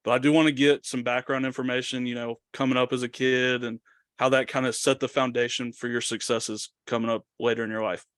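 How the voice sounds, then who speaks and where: A young man co-hosts a podcast, introducing his guest with a friendly tone and small stumbles. His voice is mid-pitched and recorded dry and close. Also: level tone, brisk, a bit bright, clear, resonant tone, no room sound. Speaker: podcast co-host. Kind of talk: casual chat.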